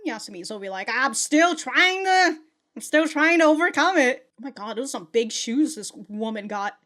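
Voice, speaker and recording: A young woman giving casual reaction commentary on an anime episode at her desk, animated and quick to laugh and swear. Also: high voice. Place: small room at a desk, indoors.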